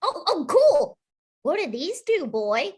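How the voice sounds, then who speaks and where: An actor playing an excitable young boy with whiny, drawn-out pleading at a table read. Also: very high voice, full character voice. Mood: curious. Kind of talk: animated film script table read.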